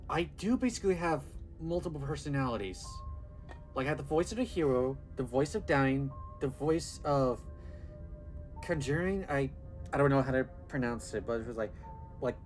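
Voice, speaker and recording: A man's voice: fairly high pitch, lively intonation, moderate pace, faint music behind. A man casually commenting on a horror game he is playing, rambling and hesitant, with frequent fillers and laughter. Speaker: gameplay video host. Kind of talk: casual let's-play commentary.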